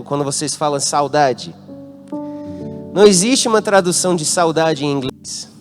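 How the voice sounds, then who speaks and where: A man from the United States, a native English speaker, preaching a youth-conference sermon with an American accent and drawing the congregation into call-and-response. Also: on the high side. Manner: interacts with the congregation, asks them to call out answers. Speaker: guest pastor. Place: church hall.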